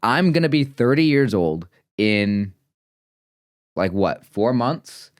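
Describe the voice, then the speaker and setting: A man's voice: mid-range voice, sing-song, takes it slowly, no room sound, clean studio sound. Earnest rambling man in his late twenties. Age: 20s. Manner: rambling, earnest, many fillers and restarts. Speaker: vlogger. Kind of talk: casual reflective vlog talk.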